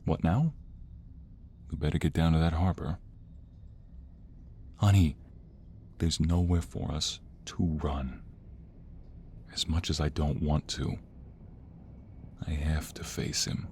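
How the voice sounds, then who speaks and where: Casting: man to voice protective mob lover for audio roleplay, deep and deliberate, emotionally earnest, faint noise behind. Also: fairly even intonation, a little mellow. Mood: grim, tender. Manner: earnest and emotional, dramatic pauses. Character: protective, defiant mobster on the run, devoted lover. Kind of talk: audio roleplay romance acting.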